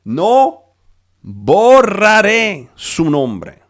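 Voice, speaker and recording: A male pastor preaching a sermon over a video call, in a slow, emphatic way with frequent restarts; Spanish is not his first language. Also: high-pitched, huge pitch swings.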